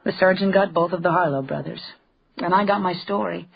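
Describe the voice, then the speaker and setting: An actress playing a bold newspaper reporter, knowing and teasing, in a radio crime drama. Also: female voice, on the low side, fairly quick, creaky, breathy edge, muffled recording.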